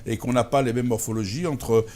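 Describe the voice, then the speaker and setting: A man's voice: medium pitch, fairly even intonation, brisk, a little mellow. A man hosting a talk show, interviewing his guest in a conversational way and readily chiming in with his own points.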